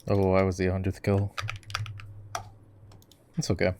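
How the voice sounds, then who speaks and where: Low-voiced man chatting casually while gaming. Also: completely flat delivery, a little slow. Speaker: gamer, voice actor playing a gamer boyfriend. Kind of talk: casual gaming commentary in an ASMR roleplay. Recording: faint keyboard clicking and typing noise. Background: keyboard typing and clicks.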